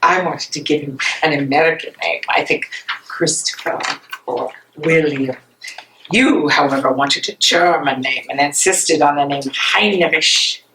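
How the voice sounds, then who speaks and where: A woman's voice: low-pitched, a little slow. A woman playing an emotional young German fiancée of an imprisoned dissident in a dramatic stage reading. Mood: wistful, tender. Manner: emotional, accusing, swings to tender. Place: library meeting room, live reading. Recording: echoey room, noisy with clicks.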